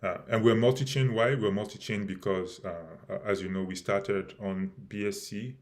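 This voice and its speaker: A man hosting a live stream about his project, speaking haltingly with many fillers and restarts, and losing his voice a little. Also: lowish voice, fairly even intonation. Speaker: crypto project host.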